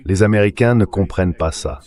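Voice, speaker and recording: A man's voice: low-pitched, brisk, a little mellow. A man's synthetic dubbing voice giving political commentary as an interview guest.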